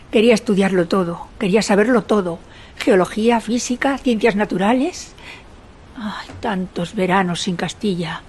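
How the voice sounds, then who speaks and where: A woman's voice: medium pitch, darkish tone, husky. An actress playing a dignified, grieving queen who recalls her youth in a solemn, literary radio drama monologue. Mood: nostalgic, yearning.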